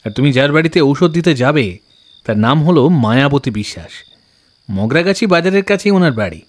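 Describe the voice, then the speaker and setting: Man acting an urgent, high-voiced village shop boss. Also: expressive, normal speed. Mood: urgent, instructing. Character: urgent, businesslike male village pharmacy owner. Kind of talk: dramatised audio story.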